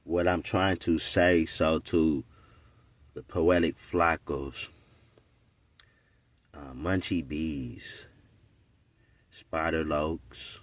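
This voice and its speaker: A man's voice: very deep voice, steady tone, very slow, long pauses, dry recording. A man in his forties giving an earnest, preachy, unscripted monologue, often checking in with "right?". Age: 40s. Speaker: podcast commentator.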